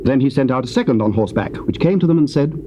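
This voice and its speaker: A British man narrates scripture as solemn storytelling. His voice is of medium pitch. The recording is an old cassette with clear noise behind him. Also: lively intonation, quick pace.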